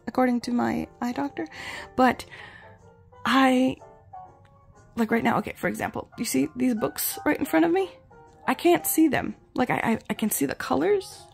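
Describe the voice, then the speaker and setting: A woman vlogger sick with the flu, her voice gravelly, chatting in a rambling, self-deprecating way while reviewing glasses at home. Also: a little high. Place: home, indoors and outdoors in yard.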